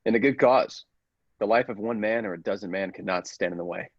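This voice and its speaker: A man playing a megalomaniac robot who passes for human, a coldly menacing villain speaking formally and deliberately in a classic radio play. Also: fairly low pitch, no echo, dull audio, pitched below the performer's own voice. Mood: cold, calculating.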